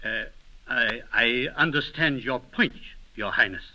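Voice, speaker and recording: A man's voice: medium pitch, measured pace, pushed and bright, lo-fi sound. A man acting a nervous, polite English envoy in a 1930s radio drama, stammering and deferential. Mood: flustered, placating.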